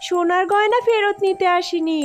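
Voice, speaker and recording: A woman voicing a kindly, righteous female fox in a cartoon fairy tale, polite and gently moralizing. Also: extremely high pitch, moderate pace, soft background music. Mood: friendly.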